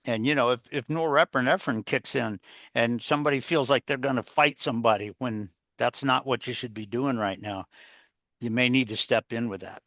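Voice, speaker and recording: Male interview guest, mid-pitched, coach-style advice, meandering and repetitive, rhetorical questions, very little room sound. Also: normal speed, fairly bright tone, no echo, clean studio sound. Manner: rambling, repeats phrases, poses rhetorical questions. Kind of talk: conversational advice-giving interview.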